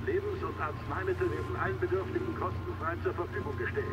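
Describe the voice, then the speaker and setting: A man playing an official emergency announcer, formally warning a city's residents in a measured way. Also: fairly high pitch, steady tone, highs cut off, background noise, close to an everyday voice. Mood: official, urgent.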